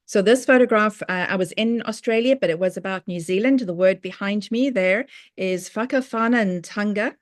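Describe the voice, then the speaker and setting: South African woman giving a relaxed talk. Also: mid-range voice, moderate pace, no room sound, studio quality. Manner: conversational, with fillers and restarts. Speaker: professional speaker presenting. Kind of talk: online presentation to a speaker chapter.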